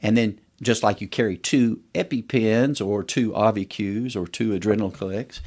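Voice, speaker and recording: A middle-aged man with a fairly low voice talks as a doctor being interviewed. He explains medical points in a conversational way, often walking through examples in plain first person. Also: measured pace, darkish tone. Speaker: allergist, podcast guest.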